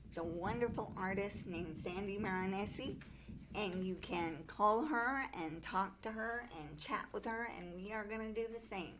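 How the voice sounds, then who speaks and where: A woman's voice: medium pitch, moderate pace, a crowd in the background, rough recording. A woman hosting a radio talk show, upbeat and polished as she interviews a guest.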